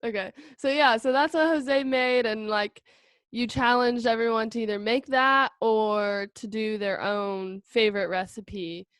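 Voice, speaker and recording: Young woman, podcast host, friendly, laughs a lot, higher voice, dry close sound. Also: fairly even intonation, a little slow, fairly bright tone, smooth, dry recording. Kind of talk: casual podcast chat.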